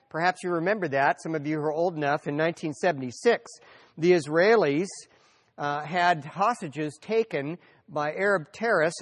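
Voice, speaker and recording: A middle-aged man with a slightly high voice lectures an audience, telling history as a story. He talks conversationally, with fillers and restarts as he goes. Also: a bit bright.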